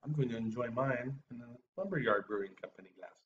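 A man's voice: medium pitch, fast, dull audio. A middle-aged man co-hosting a casual beer review, easygoing and stuffy-nosed. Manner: easygoing, laughs often. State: stuffy nose. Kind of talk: casual chat. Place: small room with shelves of beer bottles. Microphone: webcam recording from a distance. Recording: distant webcam sound with faint hiss.

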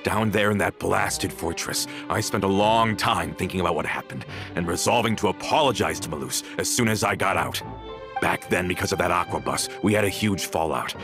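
A man's voice: low voice, fairly quick, vocal fry. A man playing a bitter, vengeful villain in a video game, dramatic and accusatory, with music behind him. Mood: bitter, brooding. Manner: dramatic, accusatory, swinging from brooding to ranting. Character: bitter, vengeful villain, a former member of a crime family. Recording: loud music under the voice.

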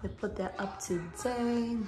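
Gushing, slow-paced woman in an echoey room. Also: on the high side. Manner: gushing, drawn-out emphasis. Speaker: vlogger. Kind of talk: casual home renovation tour. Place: small tiled bathroom indoors, being remodelled. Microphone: handheld phone behind the camera. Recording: echoey bare bathroom.

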